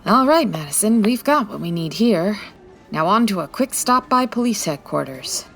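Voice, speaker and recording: A woman's voice: medium pitch, sing-song, normal speed, creaky, fairly bright tone, soft background music. A woman playing a confident, wry 1940s private detective in a radio-style comedy drama, breezy and teasing. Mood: brisk, confident. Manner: breezy, teasing, self-assured.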